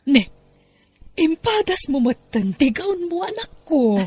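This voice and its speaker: A woman's voice: high-pitched, sing-song, slow pace, darkish tone, full character voice. A woman with an Ilocano Filipino accent playing a doting, effusive mother of the bride in a podcast comedy drama. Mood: delighted.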